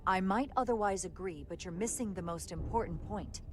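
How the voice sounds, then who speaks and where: A woman's voice: medium pitch, a little fast, faint music behind, close to an everyday voice. A woman playing a tactful starship first officer in a video game, speaking in an earnest, diplomatic way. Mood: earnest, persuasive.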